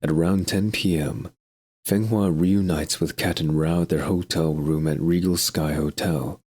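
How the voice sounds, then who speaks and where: A man's voice: deep voice, fairly even intonation, measured pace, a little mellow, slightly rough. A man narrating a serialized audio drama from a script.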